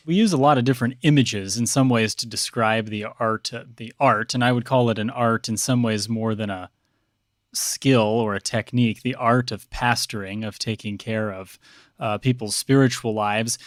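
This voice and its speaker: Measured American man, thoughtful priest, dry sound. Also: mid-range voice, no room sound. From Texas, United States. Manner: measured, hesitant, thoughtful phrasing. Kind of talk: reflective interview talk.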